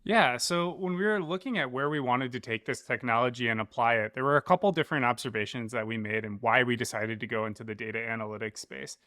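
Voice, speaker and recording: A man with a bright, forceful voice at a middling pitch explains his work as an interview guest. He talks like a startup founder in a conversational business register. The sound is dry and close. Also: fast, few pauses, dry recording.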